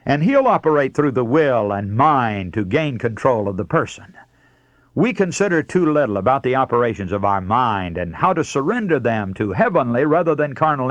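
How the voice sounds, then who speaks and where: An American man delivers a radio sermon in a close, dry sound. His pitch moves in lively swings as he teaches with earnest emphasis, setting out points and scripture like a seasoned broadcast preacher. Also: no echo.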